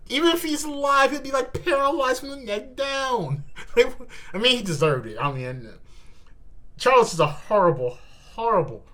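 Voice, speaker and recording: A host giving an animated, slangy live reaction in casual commentary, repeating words and exclaiming. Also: high-pitched. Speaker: host of a manga reaction channel.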